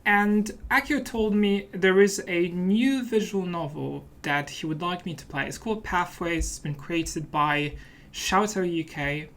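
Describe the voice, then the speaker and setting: A man's voice: high-pitched, measured pace, fairly bright tone. A man talks casually through a game as he plays it. His delivery is playful and often mock-serious, in the style of a let's play host. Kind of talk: casual gameplay commentary.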